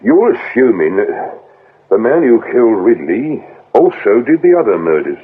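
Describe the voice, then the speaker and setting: An actor playing a respectful, plodding British police sergeant, mildly puzzled, in a radio drama. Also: male voice, mid-range voice, very animated, normal speed, breathy edge. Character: deferential, lazy, plodding male police sergeant. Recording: tinny, band-limited old radio, faint noise.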